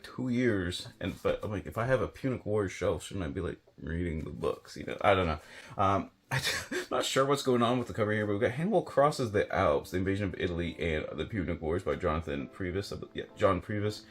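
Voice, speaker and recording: Low-voiced man rambling casually through book picks. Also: fairly quick. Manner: rambling, hesitant, filler-heavy delivery. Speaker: book reviewer and video host. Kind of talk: casual unscripted chat to camera about books.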